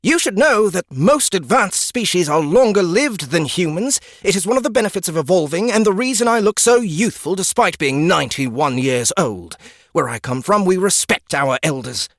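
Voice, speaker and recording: Pompous lizard guide played by a man, condescending lecturer, very animated pitch, dry close sound. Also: moderate pace, dry recording, heavily acted. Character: pompous, exasperated ninety-one-year-old male lizard guide, youthful-looking. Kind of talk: audiobook character acting.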